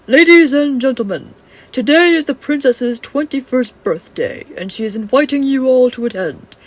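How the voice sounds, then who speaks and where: A woman voicing a formal duke who makes loud, declamatory royal proclamations in a storybook. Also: high voice, expressive, moderate pace, pitched above the performer's own voice, poor audio. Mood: formal, proclaiming.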